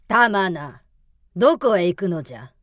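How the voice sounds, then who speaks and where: An ancient, prophetic old woman of the mountains in a radio drama. She speaks very slowly in archaic, weighty phrases, with wide melodic swings. The voice is rather low and recorded dry. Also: big pitch swings, a bit bright, no echo. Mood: stern warning.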